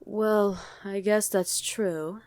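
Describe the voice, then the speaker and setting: A woman's voice: mid-range voice, fairly even intonation, very slow, darkish tone, no room sound. A woman voicing a condescending, sardonic female demon commander in a chatty ASMR roleplay. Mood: sardonic, musing. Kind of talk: ASMR roleplay acting addressed to the listener.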